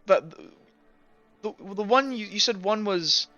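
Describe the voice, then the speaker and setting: A man voicing a stern but caring female military commander in a tabletop game, speaking in a hesitant, measured, grave way. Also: high voice, pushed and bright, no echo, lo-fi sound, faint music behind, pitched above the performer's own voice. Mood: concerned, questioning.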